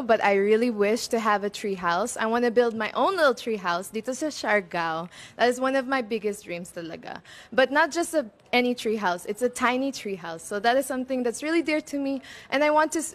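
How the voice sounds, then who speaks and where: A young woman. She answers as a pageant contestant, chatty and earnest, dropping Tagalog words into her sentences as she speaks into a stand microphone. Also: fairly high pitch. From Philippines and Macau. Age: twenties. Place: open-sided veranda outdoors.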